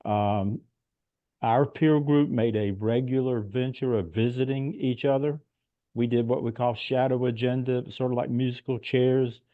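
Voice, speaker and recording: A man's voice: mid-range voice, steady tone, measured pace, no room sound. A man who sold his business, speaking plainly and earnestly on a video call. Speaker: retired business owner. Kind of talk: casual video call discussion. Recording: tinny dry phone-like call audio.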